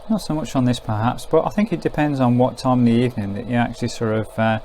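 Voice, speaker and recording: Man, slightly creaky, casual reviewer. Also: mid-range voice, quick pace, darkish tone, slight background noise. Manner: informal, thinking aloud with hedging asides. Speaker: product reviewer. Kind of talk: casual hands-on gadget review.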